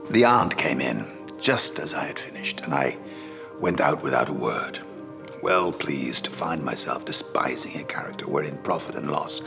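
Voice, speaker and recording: Man reading as worldly gentleman memoirist, super deep creaky voice, formal dramatic narration. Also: exaggerated voice. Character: worldly gentleman memoirist recalling his seductions.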